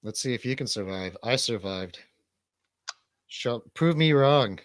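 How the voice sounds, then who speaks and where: A man's voice: medium pitch, animated, a little slow, no room sound. A man hosting a livestream radio show with casual, comedic chat, rambling and swearing irreverently.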